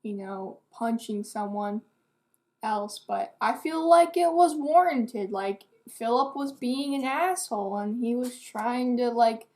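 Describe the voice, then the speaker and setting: A woman's voice: fairly high pitch, expressive, takes it slowly, darkish tone. An eighteen-year-old woman giving a casual, rambling book review to camera in a candid, self-deprecating, hesitant way.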